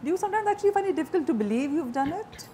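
A woman's voice: expressive, rapid-fire, dark tone. A middle-aged woman, interviewing a guest in a conversational style. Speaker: interviewer, host. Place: indoor lounge or cafe, seated across a table. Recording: clear background noise with low rumble.

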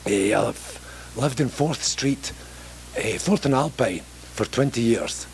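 A man's voice: mid-range voice, expressive, slow, vocal fry, slight background noise. Joking Scottish man with a handheld mic. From Scotland. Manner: joking, rambling, self-deprecating. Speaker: mayoral candidate. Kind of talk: candidate forum introduction. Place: library meeting room, standing before seated audience.

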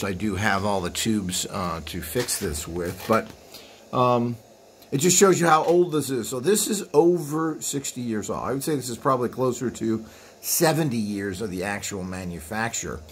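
A man hosting an informal hands-on walkthrough, explaining things in a rambling way as he films indoors. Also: medium pitch. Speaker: host of a vintage equipment video channel. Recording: clear background noise, room ambience.

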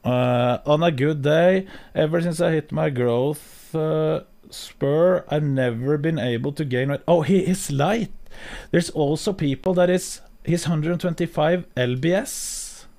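A man in his thirties with a Norwegian accent, chatting slowly and hesitantly on a live stream from his home setup, with plenty of fillers and restarts. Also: on the high side, a little mellow. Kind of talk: casual live-stream chat. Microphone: studio mic on boom arm, headphones.

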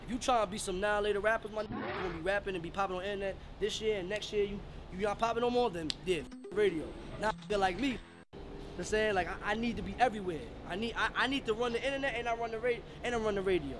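A man's voice: sits high, fast, noisy background. A man, a rapper, talking emphatically in street slang and repeating himself for emphasis. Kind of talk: casual interview.

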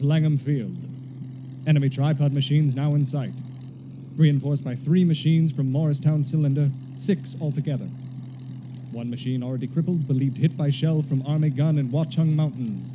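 An actor playing a resolute army bomber pilot, giving clipped military reports in radio drama style, over engine drone. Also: male voice, on the high side, noisy background, lightly acted. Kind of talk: 1930s radio drama acting. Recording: loud engine drone behind the voice.

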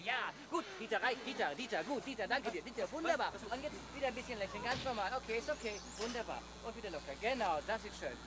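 Fussy, animated photographer, noisy background. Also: male voice, sits high, fast, long pauses. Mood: coaxing. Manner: bossy, gushing at models. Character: fussy, easily exasperated male advertising photographer. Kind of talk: German radio play acting.

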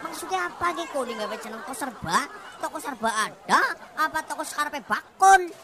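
A woman's voice: sits high, lively intonation, a little fast. A woman with a Banyumasan Javanese accent playing a grumbling customer in a radio comedy sketch. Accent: Banyumasan Javanese (Ngapak). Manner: grumbling, mocking complaints. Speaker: complaining customer. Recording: old radio recording, crowd and noise behind.

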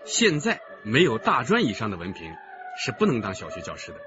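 A man's voice: mid-range voice, huge pitch swings, brisk, muffled recording. An actor playing an affable, talkative male primary school principal in a radio drama. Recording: band-limited radio drama with music behind.

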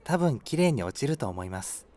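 A man's voice: mid-range voice, sing-song, brisk, dark tone, close to an everyday voice. A man playing an earnest, mild, slightly airheaded young product developer who speaks politely and unassumingly. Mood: helpful. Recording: faint music behind the voice.

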